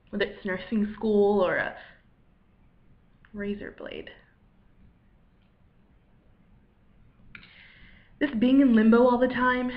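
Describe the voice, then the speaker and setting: A woman acting a dramatic monologue as a weary, self-deprecating failed poet, anxious and rambling, in a halting, wry, conversational way. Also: on the high side. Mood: despairing, sardonic. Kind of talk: dramatic monologue, cold read. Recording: echoey room, dull lo-fi microphone.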